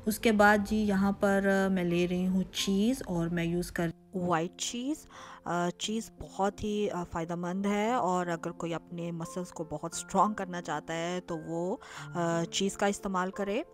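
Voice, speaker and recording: A woman with a fairly low voice talks viewers through a recipe and an evening out in relaxed voice-over. She explains as she goes and pauses often on filler sounds. Clear background music plays under her. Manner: chatty, explanatory, frequent "uh" fillers. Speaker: lifestyle vlogger. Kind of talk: casual recipe and travel vlog voice-over. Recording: clear music bed behind voice.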